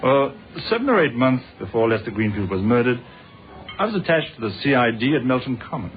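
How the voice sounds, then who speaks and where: A man's voice: mid-range voice, very animated, fairly quick, soft background music, lightly acted. Actor as an affable ex-policeman, muffled old radio sound. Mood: reflective. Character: affable ex-policeman turned businessman, old friend. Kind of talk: British radio drama acting. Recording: muffled, narrow-band old radio recording.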